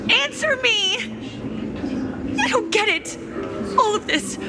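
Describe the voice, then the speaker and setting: A haunted young woman from a video game, eerie and theatrical. Her words come slowly and breathily, with loud music playing behind her. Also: very high-pitched, animated, full character voice. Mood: anguished, desperate. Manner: slow, breathy, theatrical, dreamy delivery. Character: traumatised young woman turned eerie, soft-spoken masked media mogul. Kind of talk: scripted video game voice acting.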